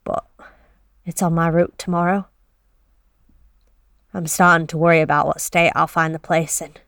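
A woman's voice: low voice, little pitch movement, moderate pace, creaky, fairly bright tone, no echo, studio quality. An actress playing a nervous young delivery driver, telling her story in a tense, confessional first-person monologue with blunt swearing. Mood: uneasy. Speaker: narrating actor.

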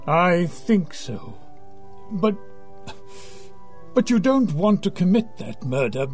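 High-voiced, earnest, unhurried priest. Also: male voice, big pitch swings, darkish tone, faint music behind, heavily acted. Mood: earnest, admonishing. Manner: measured, earnest, counseling. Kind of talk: audio drama acting.

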